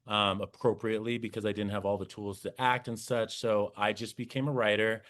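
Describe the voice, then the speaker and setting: A young man with a lowish voice, chatting as a podcast guest. He talks earnestly and animatedly, stumbling over his words and restarting sentences as he goes. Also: little pitch movement, a little fast, fairly bright tone. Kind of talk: casual interview chat.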